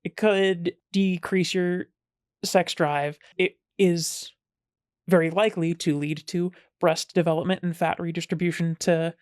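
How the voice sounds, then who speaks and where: A woman talking as a podcast guest, casual and self-deprecating, sometimes jumping up in pitch when she jokes. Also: steady tone, a little slow, a little mellow, no echo, studio quality. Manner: chatty and self-deprecating, with sudden playful jumps in pitch.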